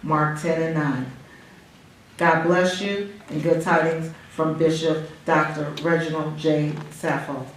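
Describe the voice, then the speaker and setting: A woman reading a formal prepared statement at a school board meeting, in a solemn, measured, preacherly cadence that rises for emphasis. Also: deep voice, steady tone, a little hiss or hum. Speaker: public commenter reading a statement. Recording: echoey room, voice far from mic.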